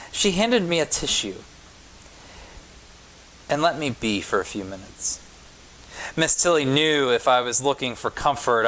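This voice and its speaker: A man reading a children's picture book aloud as a pastor at storytime, in measured short phrases with pauses. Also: medium pitch, fairly bright tone, slight background noise, rough recording.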